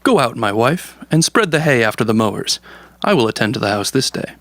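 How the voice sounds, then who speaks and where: A man's voice: medium pitch, very animated, a little fast, fairly bright tone, no room sound. An actor playing a rich, greedy, envious man in an audio folktale, theatrical and demanding. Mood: bossy. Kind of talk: audio storybook character acting.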